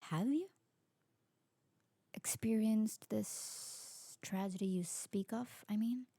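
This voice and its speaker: A woman's voice: mid-range voice, takes it slowly, creaky, dark tone, no echo. A woman playing a curious, adventurous, newly turned vampire in an ASMR roleplay drama, speaking in a genteel, formal way. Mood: gentle. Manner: genteel, formal, lingering delivery.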